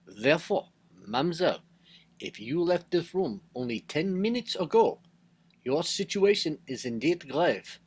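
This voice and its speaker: A man's voice: on the high side, expressive, unhurried, husky. A man playing a courtly, shrewd Belgian detective with a French-speaking Belgian accent, formal and hesitant, in a radio mystery style. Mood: shrewd, pressing. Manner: formal, hesitant, punctuating sentences with questioning tags. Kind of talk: old-time radio mystery acting.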